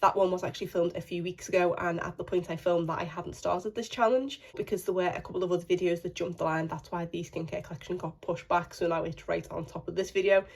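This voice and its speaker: Woman, croaky cold voice, husky, lowish pitch, rambling vlog chat. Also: darkish tone. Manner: apologetic, chatty, rambling. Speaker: beauty vlogger. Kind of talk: casual chat to camera. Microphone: phone held in hand.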